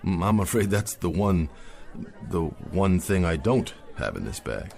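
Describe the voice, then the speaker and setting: A man's voice: sits low, sing-song, unhurried, gravelly, darkish tone, full character voice. An actor playing a jolly, drunken middle-aged man acting as Santa Claus, with a theatrical, laughing manner, in a radio drama. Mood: sheepish. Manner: jovial, theatrical, laughing often.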